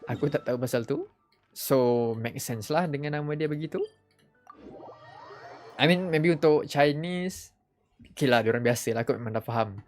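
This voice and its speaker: A Malaysian woman commentates casually on a game livestream, mixing Malay and English. Her voice sits low, and game music plays faintly behind her. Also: normal speed, long pauses, darkish tone.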